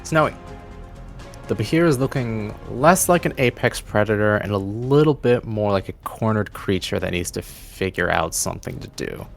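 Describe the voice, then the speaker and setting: A man's voice: lowish voice, lively intonation, moderate pace, gravelly, soft background music. A man narrating a tabletop fantasy game as game master, with dramatic, descriptive storytelling.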